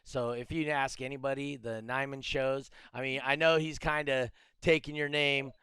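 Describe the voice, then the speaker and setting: A man's voice: mid-range voice, level tone, normal speed, sharp tone, clear, resonant tone, no echo, studio quality. A man hosting a casual podcast, speaking in an easygoing, affirming way. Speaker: podcast host.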